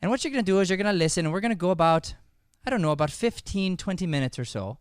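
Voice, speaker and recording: Male improv host, stage Irish accent, slightly high smooth voice, dry close-mic sound, casual audience banter. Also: expressive, racing, no room sound. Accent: put-on stage Irish. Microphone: close podcast microphone.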